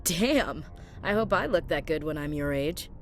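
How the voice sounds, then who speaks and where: Blunt, low-pitched soldier. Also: female voice, animated, unhurried, soft background music. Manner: blunt, informal. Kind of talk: video game cutscene acting.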